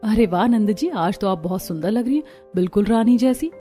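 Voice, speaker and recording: A woman's voice: medium pitch, expressive, fairly quick, dark tone, faint music behind, natural voice. A woman playing a caring, dutiful young sister-in-law in a cartoon family story. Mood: bright, organizing.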